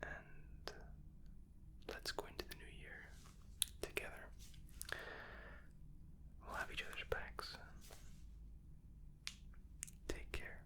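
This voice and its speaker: A man gently whispering an ASMR medical roleplay as a reassuring, unhurried doctor. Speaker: ASMR roleplay host playing an examining doctor.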